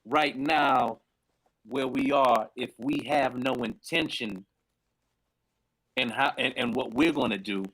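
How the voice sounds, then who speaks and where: A man's voice: mid-range voice, slow, long pauses, a bit bright, low-quality recording. A man co-hosting a casual talk show, telling a story in a laid-back way and often repeating words. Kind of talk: casual chat.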